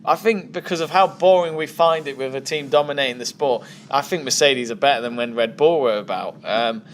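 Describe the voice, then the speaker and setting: Guy on a podcast, jokey, rambles through his takes, mid pitch, light hiss. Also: a little fast. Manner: joking, rambling opinions with repeated restarts. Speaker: podcast guest. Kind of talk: casual chat.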